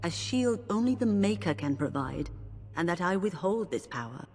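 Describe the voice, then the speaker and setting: A woman's voice: on the low side, expressive, moderate pace, darkish tone, faint music behind. An actress playing a grave, principled revered mother in a fantasy video game, speaking formally and piously. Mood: solemn. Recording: long reverberant hall echo.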